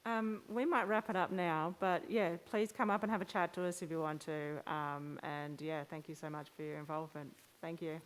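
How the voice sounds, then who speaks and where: A woman moderating a question-and-answer session, speaking in hesitant, halting phrases. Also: low-pitched, fairly even intonation, background noise.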